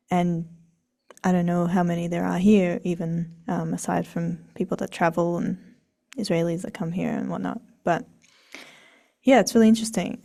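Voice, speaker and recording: Female podcast host from Australia, low gravelly mellow voice, reflective. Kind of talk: casual conversational interview.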